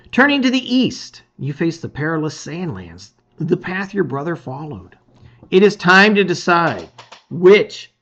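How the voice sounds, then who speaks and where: A man's voice: on the high side, a bit bright, breathy edge, muffled recording. A man reading a gamebook aloud as a dramatic story, with theatrical, emphatic phrasing.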